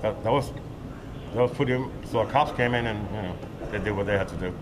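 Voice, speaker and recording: A man's voice: lowish voice, fast. Older man recounting a crash, street noise. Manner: plain, hesitant retelling with fillers. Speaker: eyewitness. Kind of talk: street interview. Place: outdoor covered sidewalk by a street.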